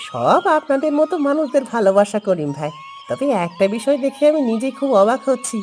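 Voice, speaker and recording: A woman's voice: on the high side, sing-song, fairly quick, a little mellow, faint music behind. A woman voicing a humble village father in a cartoon story, courteous and earnest. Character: humble village father running a new food stall.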